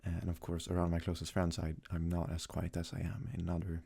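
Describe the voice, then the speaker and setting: Young man, native Swedish speaker, dark mellow tone, husky, halting soft-spoken delivery, casual ASMR. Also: sits low, completely flat delivery. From Sweden. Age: young adult. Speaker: ASMR creator.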